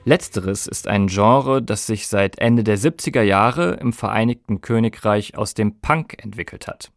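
A man's voice: lowish voice, unhurried, vocal fry, no echo, studio quality, soft background music. A man presenting a scripted podcast documentary in an explanatory way, speaking directly to listeners. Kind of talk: scripted music documentary narration.

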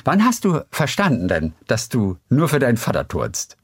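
A man interviewing a guest, calm and affirming, offering reflective remarks. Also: medium pitch, moderate pace, slightly rough, dry recording, studio quality. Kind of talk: interview conversation.

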